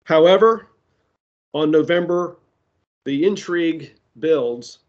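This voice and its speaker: A man preaching a sermon that ties prophecy to current events, speaking deliberately with side remarks, his voice giving out. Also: fairly high pitch, a little mellow, highs cut off. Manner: slow, deliberate delivery with asides. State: losing his voice.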